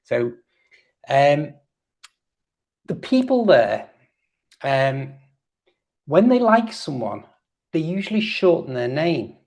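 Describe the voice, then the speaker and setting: Older Liverpool man, patient and gentle. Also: on the high side, very animated, slow, long pauses. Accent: Liverpool English. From England. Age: 60s. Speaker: guest, English teacher YouTuber. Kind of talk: friendly online conversation.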